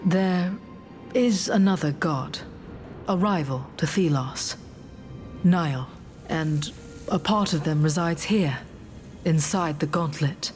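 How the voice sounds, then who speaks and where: A woman playing a solemn, earnest heroine in a fantasy game, speaking in a measured, serious way. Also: deep voice, darkish tone. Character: solemn gauntlet-wielding heroine, earnest and steady. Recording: music behind.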